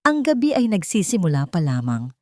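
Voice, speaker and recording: A woman voicing a poised, wealthy lady in a story, gentle yet authoritative, with polite menace. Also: on the low side, sing-song, dry recording, studio quality. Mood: veiled menace. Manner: gentle but authoritative, measured.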